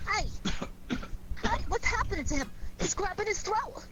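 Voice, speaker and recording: A woman's voice: very high voice, animated, moderate pace. An American woman playing a detective's curious, affectionate companion in a playful, eager manner, in 1940s radio style. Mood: alarmed. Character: sleuth's bright, affectionate, curious lady companion. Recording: noisy vintage 1940s radio recording.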